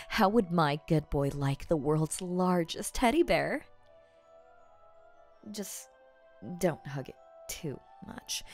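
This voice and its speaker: Woman acting a slow-talking, doting, possessive rich girlfriend. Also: sits low, a little mellow, heavily acted. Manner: coaxing, teasing, halting playful asides. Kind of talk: ASMR audio roleplay, spoken straight to the listener.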